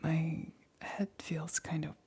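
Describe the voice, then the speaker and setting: A woman performs an awkward, shaken female scientist for an ASMR audio drama. Her voice is extremely breathy and whispery, sitting low with little melody. She speaks haltingly, stammering and hesitating while trying to sound reassuring. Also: completely flat delivery, darkish tone, exaggerated voice. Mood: dazed, woozy. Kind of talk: ASMR roleplay, whispered acting.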